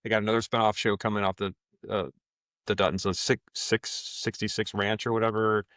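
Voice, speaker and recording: Man, podcast host, low voice, rambling casual chat, dry close sound. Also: fairly quick, no room sound. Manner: rambling, relaxed, swears casually.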